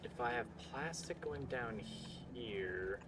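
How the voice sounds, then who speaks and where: A man's voice: mid-range voice, lively intonation, slow pace, very noisy. A man streaming a building game, talking to himself as he works through problems and greeting chat now and then. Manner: mumbling to himself, musing over puzzles, greets chat. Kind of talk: casual live-stream commentary while playing.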